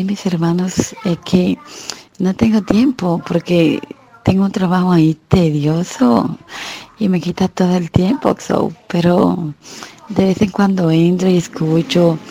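A woman with a Dominican accent speaks in a very low, gravelly voice. She talks slowly and rambles through a devout greeting. Her tone is dark and mellow. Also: steady tone. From Dominican Republic. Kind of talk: voice message to a church group.

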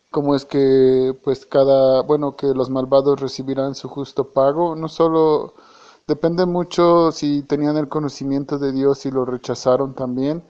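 A Mexican man leaving a long voice message who talks hesitantly, often stopping to repeat or correct himself. His pitch stays flat and level throughout. The recording sounds dull and lo-fi. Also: smooth. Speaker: church member. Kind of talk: casual voice message.

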